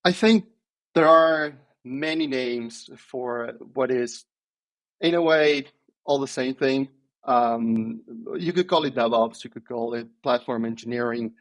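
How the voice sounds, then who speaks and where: A man, answering interview questions in a thoughtful, hesitant way with many pauses and restarts. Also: medium pitch, rough recording. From Italy. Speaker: podcast guest, software engineer.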